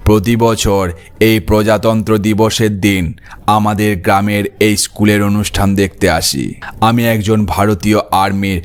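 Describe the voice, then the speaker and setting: A man's voice: fairly low pitch, flat delivery, moderate pace, clear, resonant tone, close to an everyday voice. A man playing a dignified army colonel telling a tale in a cartoon. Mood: dignified, reflective. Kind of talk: Bengali cartoon story acting.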